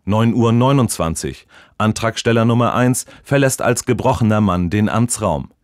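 A man's voice: lowish voice, moderate pace, dry recording, clean studio sound, natural voice. A man playing an earnest, formal radio reporter in mock-serious broadcast style. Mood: solemn, deadpan.

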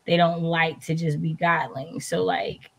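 Woman on a book chat call, lots of vocal fry, kinda low, informal, repeats herself. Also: flat delivery, unhurried. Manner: informal, repeats herself, asks rhetorical questions. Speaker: book discussion panelist. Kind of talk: casual group book chat. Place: indoor room, video call. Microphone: video call.

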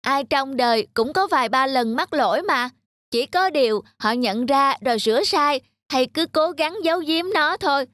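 A woman voicing a young child in a gentle moral cartoon, speaking simply and earnestly. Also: sits high, expressive, normal speed, dry recording, studio quality. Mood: forgiving, sincere. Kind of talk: Vietnamese children's cartoon dubbing.

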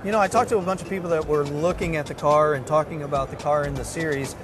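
A man's voice: fairly high pitch, steady tone, fast, noisy background. A man hosting a motorsport TV show, giving a presenter-style intro and asking interview questions at a trade show. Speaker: TV show host. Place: indoor trade show hall. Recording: music bed under voice.

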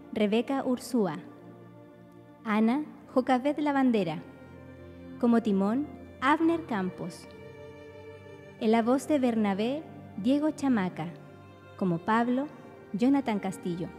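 A woman's voice: fairly high pitch, lively intonation, slow, pauses often, a little mellow, big-room sound, faint music behind. A woman narrating a religious radio drama from a script.

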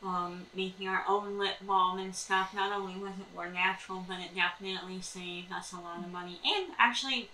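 Bright-voiced American woman in an echoey room. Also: medium pitch, steady tone, fairly quick, few pauses. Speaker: homesteading vlog co-host. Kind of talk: explanatory chat to camera with tips. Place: indoors at a table in a small sunlit room by windows. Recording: echoey room, distant mic, faint noise.

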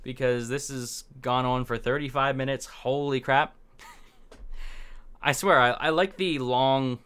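Young male vlogger, off-the-cuff rambler, smooth clear tone, medium pitch, desk mic in small room. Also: steady tone, slow pace, a bit bright. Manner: rambling, talks on the fly with fillers and restarts. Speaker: political commentary vlogger. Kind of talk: unscripted casual commentary.

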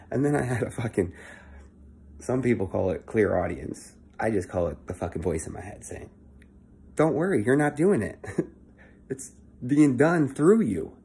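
A young man giving a casual motivational monologue into his phone at home, keeping his voice low and swearing freely. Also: expressive, a little slow, gravelly, pauses often, a little mellow, breathy edge. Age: young adult. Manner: talking low and swearing often, earnest. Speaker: vlogger. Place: indoor room at home. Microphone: phone held close at arm's length.

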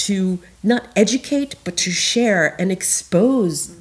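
A woman's voice: mid-range voice, takes it slowly. A woman from South Korea on a stage panel, speaking earnestly, often restarting her sentences and laughing. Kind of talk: panel discussion. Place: stage of a concert hall, in front of an audience.

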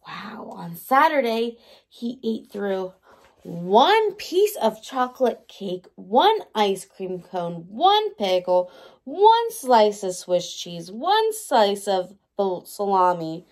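A woman in her thirties, a teacher reading a picture book aloud to young children in an animated way, with questions for them. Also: medium pitch, takes it slowly. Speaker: early learning teacher. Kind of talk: children's story time read-aloud. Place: indoors by a large window in a room at home.

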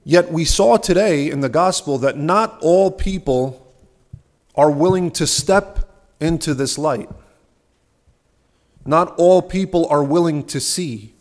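A young man with a slightly high voice preaches from a lectern in a large, ornate church. His delivery is earnest and measured, with rhetorical questions along the way. He speaks into a lectern microphone. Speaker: subdeacon preaching. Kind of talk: sermon.

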